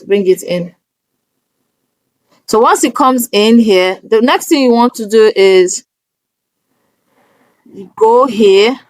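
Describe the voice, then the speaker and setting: A woman talks through a casual screen tutorial at an unhurried, slow pace. Her voice sits at a middle pitch and sounds dry and close, and she guides step by step, often checking in. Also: dry recording, low-quality recording. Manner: instructive, often checking in with "okay?". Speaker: tutorial presenter.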